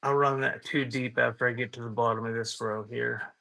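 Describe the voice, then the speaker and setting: A man's voice: medium pitch, fairly even intonation, brisk, gravelly, pushed and bright. A man hosting a live online auction in casual, off-the-cuff chat, muttering to viewers between items. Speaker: live auction seller and host.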